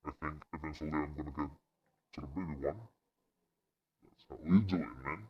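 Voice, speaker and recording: Man through a voice changer as a deep monster. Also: steady tone, a little fast, breathy edge. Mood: casual. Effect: pitch-lowering voice changer, monster setting. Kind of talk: casual gaming review chat. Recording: dull, muffled processed audio.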